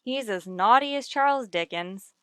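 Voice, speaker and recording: An actress playing a wisecracking, dry-witted woman schoolteacher in the style of an old radio sitcom, tossing off deadpan lines. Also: on the high side, moderate pace, clear, resonant tone, no room sound. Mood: wry, deadpan. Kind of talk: 1940s-50s radio sitcom acting.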